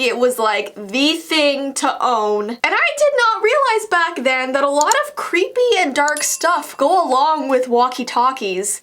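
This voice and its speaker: A woman in her twenties with a notably high voice. She hosts a vlog with chatty, animated delivery. She leans on words for emphasis and keeps it casual as she tells stories. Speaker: vlog host and storyteller. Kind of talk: chatty scripted YouTube storytelling to camera.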